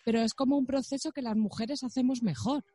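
A woman's voice: high voice, steady tone, a little fast, dark tone, no echo. A young woman with a Castilian Spanish accent, chatting casually in a frank, colloquial and reflective way. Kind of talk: casual chat.

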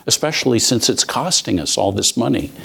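A man's voice: mid-range voice, very animated, fairly quick, vocal fry, pushed and bright, slightly rough. A man speaking unscripted as a panelist, thinking aloud and rephrasing as he makes his argument.

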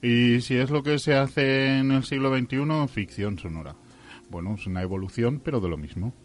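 Man giving opinions in halting discussion. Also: mid-range voice. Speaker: radio programme participant. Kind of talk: unscripted studio discussion.

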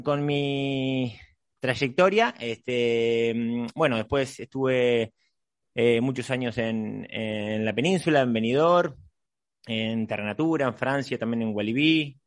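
A middle-aged man chatting casually as he introduces things, in a halting, rambling way, often restarting his phrases. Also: medium pitch, no room sound, studio quality. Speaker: host, marine mammal trainer.

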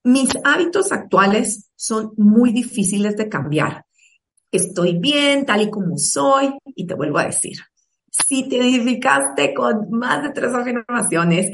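A woman's voice: a little high, distant mic. A woman from Guatemala, giving a motivational online talk and speaking directly and persuasively to her audience. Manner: addresses the listener directly, persuasive and encouraging.

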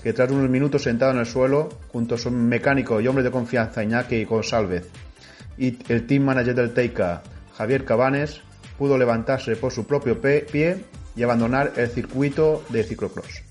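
A man's voice: mid-range voice, normal speed, faint music behind. Man reading radio news. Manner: steady reading pace. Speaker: news contributor. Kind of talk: read news bulletin.

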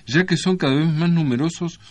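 A man's voice: a little high, fairly quick. An Argentine man co-hosting a radio show in casual, playful, ironic banter. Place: radio studio.

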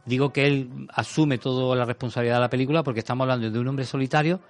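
A man's voice: mid-range voice, steady tone, rapid-fire, faint music behind. A man on a radio show talking about a film in a thoughtful, rambling way, with many restarts. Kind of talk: casual radio chat. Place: radio studio.

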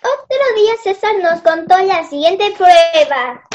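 Young schoolgirl narrator for a radio play, played by a female, very high pitch, slow delivery, slightly muffled recording. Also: expressive, noisy background. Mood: storytelling. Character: young schoolgirl narrating a classroom bullying story.

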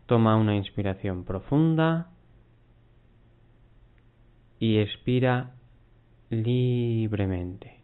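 A man guiding a mindfulness body scan, giving unhurried instructions in short phrases with long pauses. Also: fairly low pitch, clear, resonant tone. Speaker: meditation guide. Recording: muffled, phone-like narrow band.

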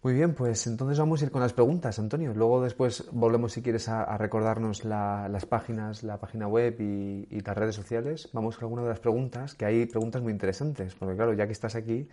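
A man with a Spanish accent from Spain, hosting an interview. His voice is low and mellow, warm and unhurried. He talks in a friendly, halting way, full of fillers. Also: steady tone. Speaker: interview host.

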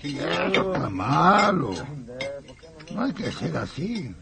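Gravelly Chilean peasant, swooping pitch. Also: male voice, big pitch swings, slow, a loud crowd, exaggerated voice. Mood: scolding, admonishing. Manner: folksy rustic country speech. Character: superstitious rural peasant man, gossipy and scolding. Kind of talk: radio drama acting.

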